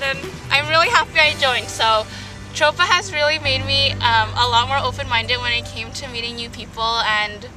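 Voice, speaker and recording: A high-voiced young college woman rambles hesitantly through a farewell message, full of ums and likes. She sits a little back from the mic, and music plays underneath. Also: distant mic, poor audio. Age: young adult. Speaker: college student club member. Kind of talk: casual farewell testimonial.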